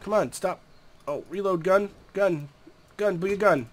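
A man's voice: sits high, unhurried. A young man with a stuffy, nasal voice from a cold, giving easygoing, chatty commentary over a game and talking to the creatures on screen. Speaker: gameplay commentator. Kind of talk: casual gameplay commentary.

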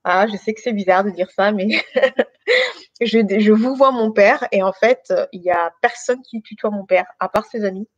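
A woman in her thirties from France, speaking informally and haltingly as she shares a personal testimony with a group. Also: mid-range voice, expressive, no room sound, muffled recording. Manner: hesitant, halting delivery with many pauses and repetitions. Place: living room at home.

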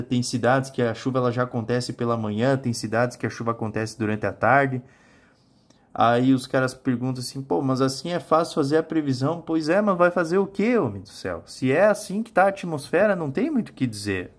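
A man in his thirties with a southern Brazilian accent, giving a colloquial weather forecast as a meteorologist and often checking in with his viewers. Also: mid-range voice. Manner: colloquial, explanatory, addresses viewers with frequent check-in tags. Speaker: meteorologist, weather channel host. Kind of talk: informal weather forecast to camera. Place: small home office with monitors, indoors.

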